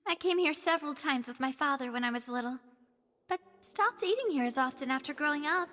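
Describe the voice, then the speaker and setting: A woman's voice: sits high, animated, fairly quick, bright, forceful tone, long reverb tail. A woman playing a confident, courteous young female leader in a video game, speaking in a polite, articulate way. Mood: gracious. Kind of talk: English video game voice acting. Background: music.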